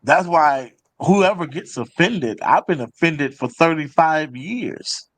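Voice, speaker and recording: A man's voice: fairly high pitch, expressive, takes it slowly, no echo. A man in his thirties hosting a casual, opinionated panel talk show, speaking bluntly and emphatically and often repeating phrases for weight.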